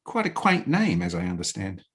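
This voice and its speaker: A man's voice: deep voice, wildly swooping pitch, normal speed, a bit bright. A man working as an interviewer, putting prepared questions in a formal, carefully phrased way.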